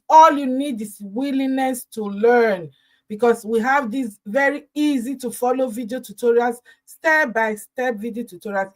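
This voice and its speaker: Woman moderator on an online panel, higher pitched, upbeat, halting delivery full of fillers. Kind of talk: live online panel hosting.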